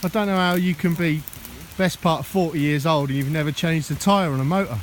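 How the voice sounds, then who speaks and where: A man with a notably high voice talks casually and jokily to the camera. He is outdoors in rainy woodland. Rain is clearly audible behind him. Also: a little fast. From Sheffield, England. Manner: jokey, chatty, laughs often. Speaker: vlogger. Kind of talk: casual outdoor vlog chat. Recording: rain noise in the background.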